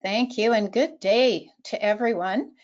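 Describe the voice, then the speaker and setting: A woman professor greets an online audience, speaking warmly with short hesitations. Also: on the high side, a little slow. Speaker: professor on the admissions panel. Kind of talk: brief webinar greeting. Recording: dull lo-fi webinar audio.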